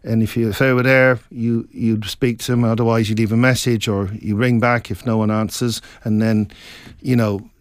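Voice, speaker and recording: A man's voice: lowish voice, level tone, dry recording, studio quality. Reflective middle-aged man from Scotland. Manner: reflective, meandering, halting with many restarts. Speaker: author, interview guest. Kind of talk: casual interview chat.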